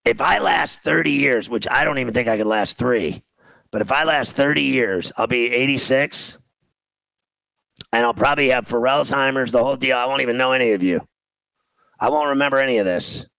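A man in his fifties rambling through a casual comic rant as a talk show host, exasperated and self-deprecating, with a gravelly voice. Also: medium pitch, sharp tone, no echo, poor audio.

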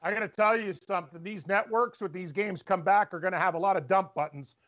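A man co-hosting a casual sports talk show, rambling and joking in animated asides. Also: high voice, fairly quick. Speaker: sports podcast co-host. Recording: dull lo-fi sound.

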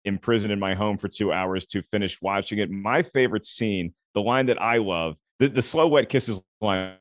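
A man with a deep voice, a film critic chatting as a podcast guest and telling relaxed stories. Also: moderate pace, no room sound. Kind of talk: podcast conversation.

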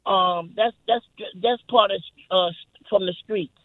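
Light-voiced man on a tinny phone line. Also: dry recording. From New York. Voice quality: light, high voice. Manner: hesitant, halting, repeats himself. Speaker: caller.

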